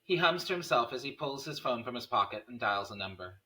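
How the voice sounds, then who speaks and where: A man's voice: mid-range voice, expressive, a little fast, bright, forceful tone, rough recording. A man narrating scene headings and stage directions at a screenplay table read.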